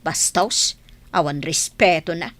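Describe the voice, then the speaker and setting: A woman's voice: deep voice, takes it slowly, a bit bright, no echo. A woman playing a disapproving, matchmaking older mother of a grown son in a radio drama, nagging as she talks. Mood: indignant, scolding.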